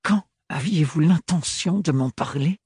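A man reading aloud and voicing a young, clumsy, defiant woman with a rusty, hoarse voice. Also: a little high, measured pace, darkish tone, dry recording, studio quality, voice pushed up. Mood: reproachful.

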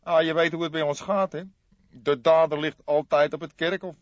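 A man voicing a scrawny, excitable café owner from the underworld in an audiobook, talking emphatic street slang. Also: fairly high pitch, normal speed, dry recording, pitched above the performer's own voice, close to an everyday voice. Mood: confiding. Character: scrawny indignant small-time underworld café owner, male. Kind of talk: audiobook character acting. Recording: slightly dull, dry studio recording.

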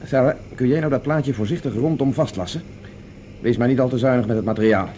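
A steady, mid-pitched man in a science-fiction radio play, as an actor performs him, giving brisk, decisive orders. He stays calm and practical, and there is faint noise under the recording. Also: close to an everyday voice. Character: Tony, resourceful male space fugitive. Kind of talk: Dutch radio play acting.

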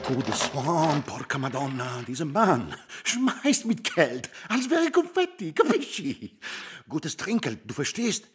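An actor playing a bossy, excitable Italian head waiter in a radio drama, mixing languages in broken grammar with an Italian accent. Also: male voice, fairly high pitch, a little slow, slightly rough, exaggerated voice. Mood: fussy, urgent.

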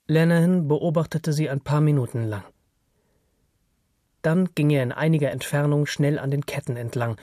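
A man giving a measured, scripted literary narration as a storyteller. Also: a little high, no echo, studio quality. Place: dry studio.

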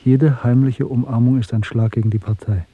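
An actor playing an embittered, fatalistic dissident in a German radio drama, brooding and deliberate in his phrasing. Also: mid-range voice, steady tone, slightly rough, dry recording, close to an everyday voice. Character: embittered, fatalistic male dissident, secretly hating the Party. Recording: muffled, dry 1970s radio production.

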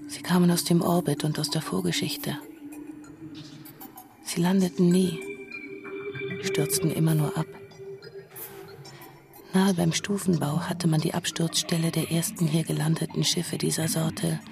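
A woman narrating a literary science-fiction radio drama in a measured, precise manner. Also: sits low, flat delivery, gravelly. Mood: measured, storytelling. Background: music.

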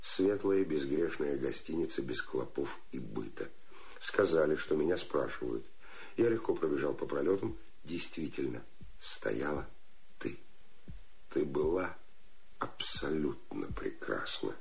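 Very deep, gravelly lovesick man poet. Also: expressive, a little mellow, exaggerated voice. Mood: dreamy adoration. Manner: halting, dramatic declamation in short phrases. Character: feverish, lovesick, regretful male poet. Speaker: actor in a radio play. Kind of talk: theatrical poetic radio play acting.

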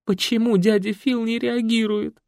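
A man doing a shrill, terrified young woman. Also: normal speed, mellow, husky, no room sound, clean studio sound. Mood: plaintive. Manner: shrill screaming and plaintive pleading. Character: frightened, childlike young woman in shock. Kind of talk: audiobook character voice.

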